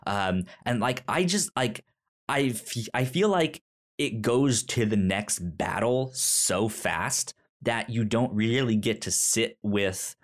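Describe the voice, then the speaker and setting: A man co-hosting a podcast in a casual discussion, talking haltingly and often repeating and stumbling over his words. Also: lowish voice, lively intonation. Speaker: podcast co-host. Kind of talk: casual book discussion chat.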